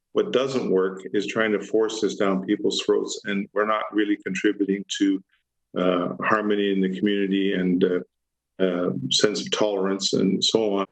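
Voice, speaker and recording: A man giving remarks as a city councillor in a meeting, with measured, hesitant phrasing. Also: on the low side, creaky, rough recording.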